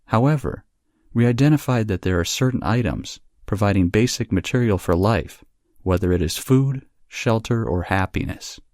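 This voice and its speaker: A man reading academic passages aloud as scripted narration, with measured, deliberate phrasing. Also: fairly low pitch, animated, darkish tone, no echo, clean studio sound. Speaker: narrator of English test practice passages.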